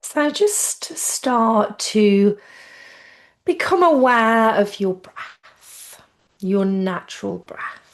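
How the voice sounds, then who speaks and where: A woman teaching yoga nidra, giving gentle guided relaxation in a soothing, unhurried and informal way. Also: mid-range voice, sing-song.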